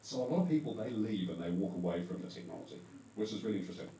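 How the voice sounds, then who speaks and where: Deep-voiced New Zealand man in an echoey hall. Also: lively intonation, racing, mellow. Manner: conversational, self-correcting with fillers. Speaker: conference panel speaker. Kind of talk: presentation talk to a live audience. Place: event hall. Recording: echoey hall, distant mic, faint hum.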